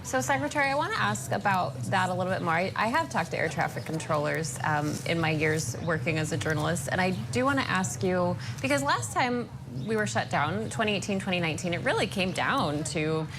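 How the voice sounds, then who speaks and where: An American woman journalist putting questions in a news interview, measured and polite but probing. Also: fairly low pitch, noisy background.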